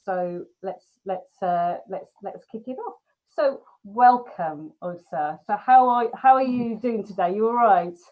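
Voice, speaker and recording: A woman's voice: mid-range voice, measured pace, phone-quality sound. A woman hosting a casual live filmmaker Q&A, talking in a chatty, informal way.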